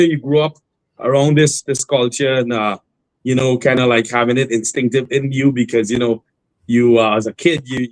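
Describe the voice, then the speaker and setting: Man, guest singer, relaxed rambling talk, dry close sound. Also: mid-range voice, no room sound, rough recording. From Trinidad and Tobago. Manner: relaxed, rambling, many fillers and restarts. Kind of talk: casual interview storytelling.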